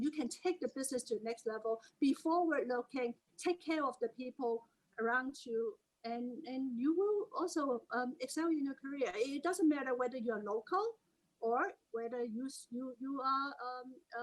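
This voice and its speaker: A woman's voice: sits high, rough recording. A woman with a Hong Kong English accent, Cantonese her first language, giving earnest, encouraging career advice on a panel.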